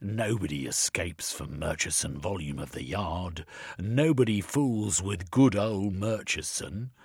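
Storybook inspector read by a man: loud, mocking bully, husky, dry close sound. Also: low-pitched, a little slow, no room sound, full character voice. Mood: contemptuous. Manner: sneering, mocking, shouting. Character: blustering bullying male police inspector.

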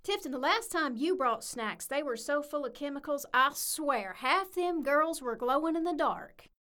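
A woman's voice: high-pitched, animated, moderate pace, sharp tone. A woman playing a brash, foul-mouthed single mom with a Southern US accent, sassy and exasperated, in an audio drama. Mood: irritated. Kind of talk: audio drama acting with narration.

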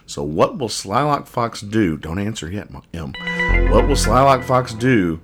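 A man's voice: lowish voice, lively intonation, a little slow, a bit bright, husky, soft background music. A middle-aged man hosting a comedy podcast in casual banter, with a wry, mock-exasperated manner and a habit of repeating his phrases. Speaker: podcast host. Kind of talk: casual comic banter, describing newspaper comics.

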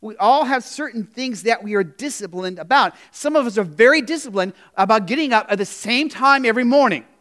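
A man's voice: very high voice, animated, brisk, hardly any pauses, a bit bright. A male pastor giving a sermon in a conversational way, often saying "you know", restarting phrases and asking the congregation questions.

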